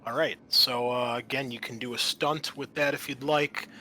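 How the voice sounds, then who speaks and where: A man's voice: medium pitch, steady tone, moderate pace, sharp tone, a little hiss or hum. A man working as game master in a tabletop roleplaying game, explaining things in a conversational way. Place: online voice call.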